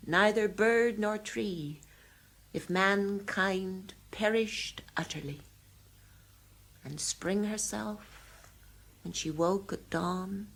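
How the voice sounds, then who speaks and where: A woman playing the serene, polite voice of an automated house in an audio drama, formal and measured. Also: mid-range voice, rough recording. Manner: measured, formal, unhurried recitation. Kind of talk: audio drama acting, recited poem.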